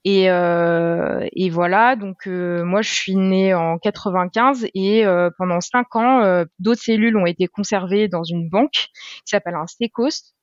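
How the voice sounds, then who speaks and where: A young woman guest on a podcast, talking through a personal subject at an even, patient pace and pausing often with fillers. Her voice sits at a middle pitch and the recording is dry and a bit muffled. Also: no echo. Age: 20s. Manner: calm, explains step by step with many hesitations. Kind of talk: casual explanatory chat.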